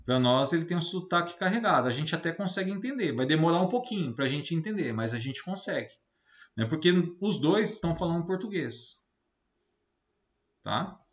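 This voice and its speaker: A man's voice: on the high side, animated, moderate pace. A Brazilian man giving an informal technical lecture as a teacher, explaining didactically and often adding short tag questions to check understanding. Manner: didactic, with frequent tag questions seeking agreement. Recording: roomy, slightly muffled lo-fi sound.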